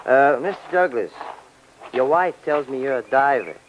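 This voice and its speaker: Muffled actor as slangy, cagey crook, old radio. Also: male voice, mid-range voice, lively intonation, normal speed, slight background noise, natural voice. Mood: cagey, casual. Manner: casual, colloquial, pushy. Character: cagey, slangy male crook on the run, scheming. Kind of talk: 1940s-50s radio drama acting.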